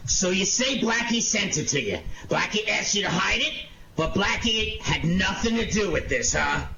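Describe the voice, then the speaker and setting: A man playing a suspicious, sardonic police inspector in a 1940s-style radio detective drama, gruff and accusing. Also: high-pitched, lively intonation, normal speed, sharp tone, full character voice. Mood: triumphant. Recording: dull, noisy lo-fi audio with clicks.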